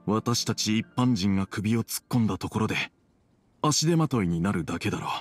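Anime game role: anxious middle-aged merchant dad, husky, animated intonation, earnest, soft music behind. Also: male voice, on the low side, a little fast. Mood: reserved. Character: worried, proud middle-aged tea merchant father.

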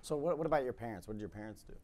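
A man's voice: mid-range voice, animated, racing, darkish tone. A man in his thirties with a slightly scratchy voice, interviewing a guest on a conference stage in a chatty, hesitant way full of ums. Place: conference stage with curtain backdrop. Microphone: lapel mic.

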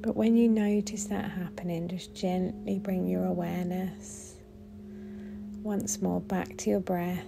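Soft, flat, creaky-voiced woman guiding meditation. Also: fairly low pitch, music in the background. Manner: gentle, soothing, unhurried, with long pauses. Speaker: meditation guide. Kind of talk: guided body scan meditation.

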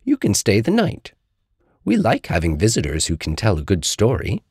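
Man as a welcoming country hare, swooping pitch. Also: huge pitch swings, moderate pace, darkish tone, husky, no room sound, studio quality, exaggerated voice. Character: kind, hospitable country hare, homely and welcoming.

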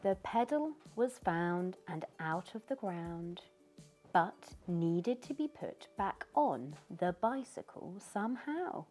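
A middle-aged British woman reads a children's picture book aloud, slow and unhurried, her voice rising and falling in a sing-song way. Her tone is mellow and gentle, and she pauses to ask her young listeners questions. Faint music plays behind her. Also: mid-range voice. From United Kingdom. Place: living room by a fireplace.

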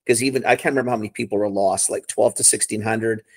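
A man's voice: on the low side, fairly even intonation, quick pace, dry recording. A middle-aged man chatting casually and enthusiastically on a video call, with a rambling way of talking. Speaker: co-host. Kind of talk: casual chat. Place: living room, seated on a sofa.

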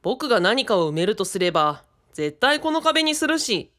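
A woman's voice: mid-range voice, very animated, moderate pace, fairly bright tone. A woman playing a courteous, earnest, slightly cheeky young man in a radio drama. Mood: thoughtful. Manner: courteous, matter-of-fact.

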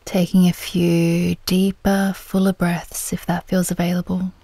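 A woman leading a guided meditation in a gentle, soothing, unhurried manner, her soft voice turning breathy at the ends of phrases. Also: fairly low pitch, flat delivery, no echo.

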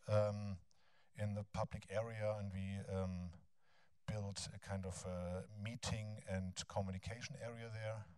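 Halting German-accented male lecturer. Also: lowish voice, a little mellow, dry recording, studio quality. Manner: halting, frequent ums and restarts. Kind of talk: academic lecture, then reading a text aloud. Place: large bright lecture hall. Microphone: handheld mic.

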